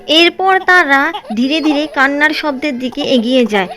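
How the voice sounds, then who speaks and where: নারী কথক উঁচু গলায় কার্টুন গল্প বলছেন. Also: female voice, high voice, animated, normal speed, pushed and bright, soft background music. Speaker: narrator and voice of Seema. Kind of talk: storybook cartoon narration.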